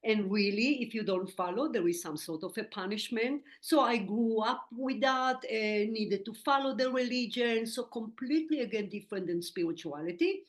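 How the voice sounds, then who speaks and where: A middle-aged woman from Israel with an Israeli accent, a Hebrew native speaker telling her story as an interview guest over a video call in earnest, slightly broken English. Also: a little high. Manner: warm and earnest, with halting non-native grammar and "eh" fillers. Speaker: guest, medium and spiritual teacher.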